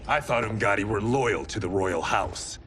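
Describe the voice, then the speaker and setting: Man playing a resolute low-voiced game hero. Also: moderate pace, heavy background noise, natural voice. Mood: stern. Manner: firm, commanding. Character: earnest, resolute male warrior hero. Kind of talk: video game cutscene acting. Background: loud cinematic music.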